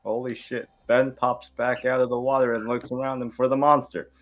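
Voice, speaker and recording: A man's voice: mid-range voice, little pitch movement, a little fast, highs cut off. A man hosting and narrating an amateur table read, informal and sometimes stumbling over the script. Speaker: host and narrator.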